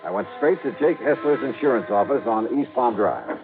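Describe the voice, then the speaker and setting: A man narrating as a crisp, wry private investigator in a vintage radio drama. His delivery moves lively through his pitch range. The broadcast sounds thin and phone-like, with the limited band of old radio. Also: moderate pace, over music. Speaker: detective narrator and lead. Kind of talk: 1950s radio drama, hard-boiled narration.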